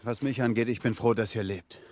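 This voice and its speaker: A man's voice: mid-range voice, steady tone, fairly quick, darkish tone, natural voice. An actor playing a young male survivor in a video game, a protective and confident older brother who speaks informally and assertively. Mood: relieved. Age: young adult. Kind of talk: dramatic video game acting.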